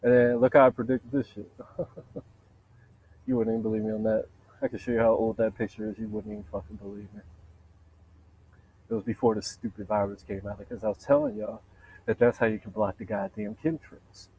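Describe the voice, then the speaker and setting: A man with a deep voice in a rambling, profane, boastful solo monologue, wandering from point to point. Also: fairly quick, long pauses, slightly rough, phone-quality sound. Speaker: vlogger.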